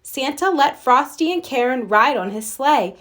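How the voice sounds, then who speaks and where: High, very animated woman storyteller. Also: measured pace. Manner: expressive storytelling. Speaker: storybook reader. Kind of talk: children's storybook read-aloud narration.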